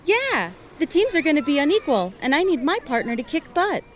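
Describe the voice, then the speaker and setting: A woman's voice: high voice, very animated, brisk. An actress playing a bubbly, affectionate, sporty young woman with a playful delivery in a fan audio drama. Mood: playful, eager.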